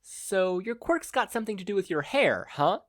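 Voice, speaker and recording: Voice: mid-range voice, very animated, moderate pace, voice pushed up, natural voice. A performer voicing a confident, wisecracking woman superhero with casual, taunting quips in an audio story. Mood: mocking.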